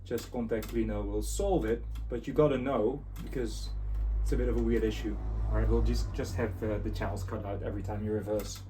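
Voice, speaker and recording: A man's voice: lowish voice, fairly even intonation, fairly quick, warm tone, slight background noise. A man giving a casual, unscripted hands-on demonstration, muttering to himself as he works. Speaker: host of a tape deck repair channel.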